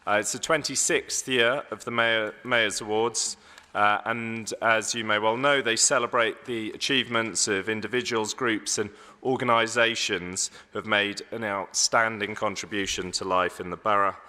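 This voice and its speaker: A man chairing a council meeting as mayor, slightly hoarse, formal and measured, with some ums and restarts. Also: lowish voice, fairly even intonation, pushed and bright. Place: large council chamber. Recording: long echo of a large hall.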